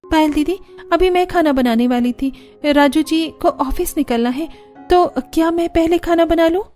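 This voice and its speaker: A young, dutiful daughter-in-law in a cartoon tale, acted by a woman. She sounds high and meek, always polite, with light music behind her. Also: normal speed, darkish tone. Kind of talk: cartoon story character voice.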